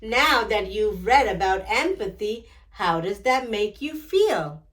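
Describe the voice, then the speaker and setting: A woman's voice: medium pitch, big pitch swings, slow, a bit bright, recorded from a distance. A woman reading a picture book aloud to children as a storyteller, instructing and putting questions directly to young listeners.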